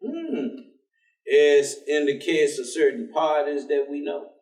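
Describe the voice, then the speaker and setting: Heard from a distance in a roomy theater, an actor plays a folksy, good-humored man of faith on stage. His voice sits high and he talks in an easy vernacular, teasing and questioning. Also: lively intonation, unhurried, darkish tone. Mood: curious. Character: folksy, devout, good-humored man gently challenging a gloomy professor. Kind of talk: staged play reading. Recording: echoey theater, distant microphone.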